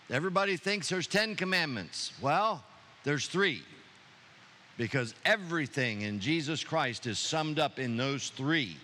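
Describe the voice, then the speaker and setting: A man's voice: fairly high pitch, pushed and bright. A man leading a church service as pastor, speaking with enthusiasm to the congregation. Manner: enthusiastic, preacherly. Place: church sanctuary.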